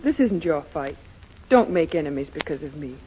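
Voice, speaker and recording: A woman's voice: sits low, lively intonation, normal speed. An actress playing a worried, self-sacrificing young American woman in a 1930s radio drama. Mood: downcast. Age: young adult. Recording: muffled, hissy 1930s radio recording.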